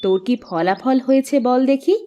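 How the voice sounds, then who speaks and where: A woman's voice: sits high, animated, moderate pace, mellow, a little hiss or hum. Woman playing an affectionate, proud village mother. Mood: anxious, fond. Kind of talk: Bengali cartoon dialogue.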